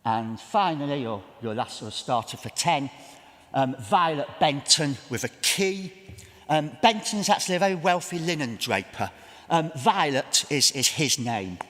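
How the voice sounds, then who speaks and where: A man's voice: on the high side, expressive, normal speed, fairly bright tone. A middle-aged man with an English accent giving a chatty, self-deprecating public history talk with slides, with frequent ums, in a large church. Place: large church with a seated audience. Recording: long echoing church reverb.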